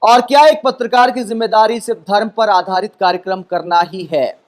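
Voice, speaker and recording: This is a man with a high-pitched voice presenting news commentary. He addresses viewers emphatically, building a critical case through rhetorical questions and repeated phrasing. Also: moderate pace.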